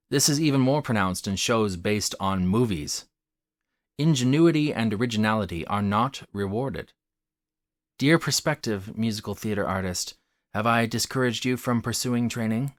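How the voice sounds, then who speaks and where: A man's voice: lowish voice, normal speed, no room sound, studio quality. A man delivering a scripted video essay as a host, in an articulate, instructive and emphatic way. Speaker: host of a theatre commentary channel.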